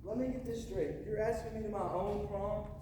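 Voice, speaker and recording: A young woman acts a flustered small-town teenage boy on stage. The delivery is incredulous and exasperated. It sounds distant and very echoey, with clear background noise. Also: mid-range voice, steady tone, a little fast, natural voice. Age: teenager or young adult. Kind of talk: high school stage play acting. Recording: echoey noisy stage recording from far off.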